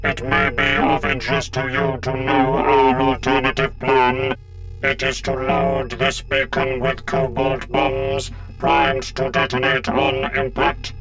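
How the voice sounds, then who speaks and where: Cold male cyborg leader, acted by a male narrator, monotone, slow, clipped, commanding, menacing, audiobook villain. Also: mid-range voice, close to an everyday voice, low-quality recording. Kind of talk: dramatised audiobook reading.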